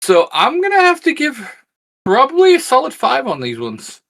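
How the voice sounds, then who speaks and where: A man's voice: extremely high pitch, wildly swooping pitch, moderate pace, gravelly, sharp tone. A man co-hosting a review in a casual, conversational style. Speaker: co-host.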